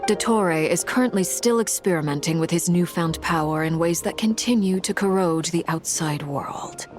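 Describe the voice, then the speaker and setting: Woman voicing low-pitched, composed female commander. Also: lightly acted. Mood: grave explaining. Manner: formal, measured, dry, deadpan. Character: cool, composed, dryly condescending female Harbinger commander. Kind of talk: English video game voice acting. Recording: game music behind the voice.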